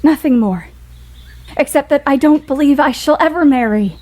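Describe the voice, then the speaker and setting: An actress plays a spirited, independent young woman with a high-pitched voice. She speaks earnestly and with emphasis, loving yet stubborn, in the manner of an audio play. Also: normal speed, darkish tone, close to an everyday voice. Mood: firm, exasperated.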